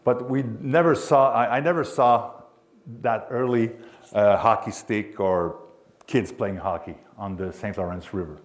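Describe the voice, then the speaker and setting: A man with a Quebec French accent, a local sports announcer giving an informal history talk in English, pausing often with fillers as he searches for words. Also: on the low side. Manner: halting, many fillers and restarts while searching for English words.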